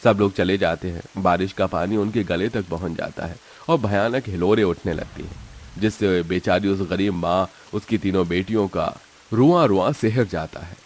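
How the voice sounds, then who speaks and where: A man's voice: low voice, normal speed, slight background noise. A man narrating a scripted, dramatic story.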